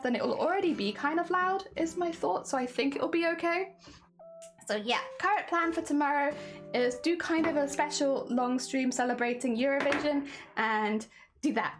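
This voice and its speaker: Chatty wheezy woman, lively high voice. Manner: chatty, rambling, laughs often. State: wheezy, voice strained. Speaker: crafting livestreamer. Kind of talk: casual chat to live chat. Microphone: headset.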